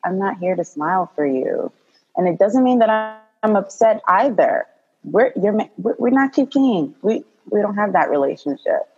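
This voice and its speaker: A woman in her thirties from Congo talks as a guest on a casual podcast, calm and direct, and she laughs often. Her voice is slightly husky. The recording sounds muffled and lo-fi. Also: mid-range voice, normal speed. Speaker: guest, doula.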